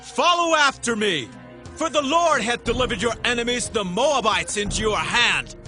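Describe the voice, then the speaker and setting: A man's voice: very high voice, expressive, normal speed, soft background music, exaggerated voice. A man playing a bold, commanding Israelite war leader rallying his men in a dramatized audio Bible, in a declamatory calling voice. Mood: rousing, triumphant. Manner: loud, declamatory rallying call.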